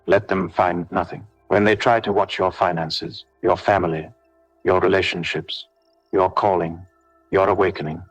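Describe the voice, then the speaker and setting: A man with a very low voice delivers a scripted prayer over music. He speaks in short, solemn declarations, each phrase measured and set apart, as a prayer leader addressing one listener. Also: no echo, poor audio. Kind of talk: scripted devotional warfare prayer.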